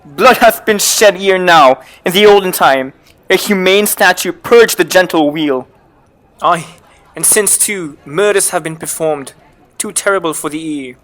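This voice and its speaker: A man's voice: fairly high pitch, a bit bright. An actor playing a guilt-haunted, raving king in a dramatic reading of Shakespeare.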